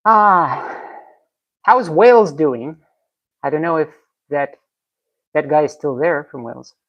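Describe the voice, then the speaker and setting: A woman's voice: low-pitched, slow. A young woman from Russia, a native Russian speaker, chatting casually on a live stream and reading out and answering viewer comments. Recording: slightly dull lo-fi stream audio.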